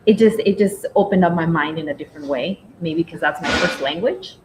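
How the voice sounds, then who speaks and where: A woman's voice: a little fast, low-quality recording. A woman from Nicaragua whose first language is Spanish, chatting casually and earnestly as a guest, often repeating herself. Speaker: guest, recovery retreat team member. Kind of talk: casual heartfelt chat. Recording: background rumble and noise.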